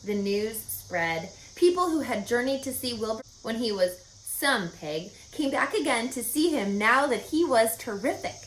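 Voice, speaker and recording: A woman reading a children's story aloud in an expressive, emphatic and explanatory way. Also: on the high side, measured pace, hardly any pauses. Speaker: storybook reader. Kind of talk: animated children's read-aloud narration. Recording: roomy echo with faint background noise.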